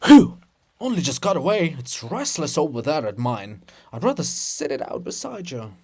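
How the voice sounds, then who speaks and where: A man's voice: fairly high pitch, sing-song, moderate pace, darkish tone, breathy edge, exaggerated voice. A man voicing a flustered, complaining monument of a scholar, out of breath, in a theatrical reading. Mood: flustered, grieving.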